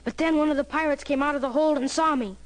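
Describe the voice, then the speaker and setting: A man's voice: very high voice, fairly even intonation, fast. A boy actor playing an earnest, brave young adventurer who narrates his own tale dramatically. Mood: tense, dramatic. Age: child or young teen. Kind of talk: dramatic first-person story narration. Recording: orchestral music behind.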